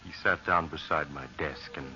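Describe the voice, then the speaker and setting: An actor playing a grave, dramatic storyteller, narrating a vintage radio horror tale. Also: male voice, a bass voice, steady tone, sharp tone, soft background music, full character voice. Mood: grave, ominous. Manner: dramatic, deliberate. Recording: muffled old radio recording.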